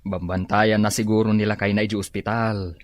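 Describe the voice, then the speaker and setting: An actor with an Ilocano accent playing an easygoing, hardworking village husband and loyal friend in a radio drama. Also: male voice, on the low side, level tone, fairly quick, no room sound, lightly acted. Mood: casual.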